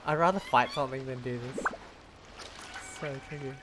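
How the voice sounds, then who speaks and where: A woman's voice: rumbling low, moderate pace, very noisy. A woman giving casual, thinking-aloud commentary while she plays a video game. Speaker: game streamer.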